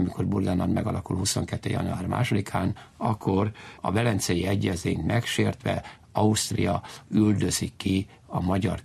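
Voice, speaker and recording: Low gravelly guy doing an interview, explaining history, rambles a bit and hammers his points. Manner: emphatic, digressive, repeats key points.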